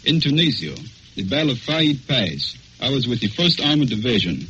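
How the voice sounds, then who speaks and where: A man's voice: mid-range voice, big pitch swings, moderate pace, a bit bright. American man, army veteran interviewee, 1940s radio style, muffled recording with faint noise. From Louisville, Kentucky, United States. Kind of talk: scripted 1940s radio interview, recounting combat.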